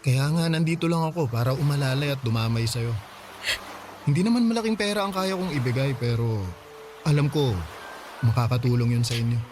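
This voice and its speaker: A man's voice: mid-range voice, a little fast, long pauses, music in the background, close to an everyday voice. A man playing an earnest, caring young boyfriend in a radio drama, speaking in a tender, reassuring way.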